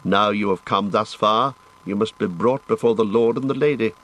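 A man reading an audiobook, voicing a stern male elf guardian who speaks in a measured, formal way. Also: on the low side, a bit bright.

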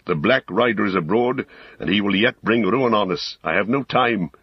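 A grave old wizard, performed by a man reading a story. His voice is very low and rough with huskiness, speaking with weighty, urgent authority. The recording is dry and slightly dull. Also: moderate pace, no room sound. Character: grave old male wizard, stern and commanding.

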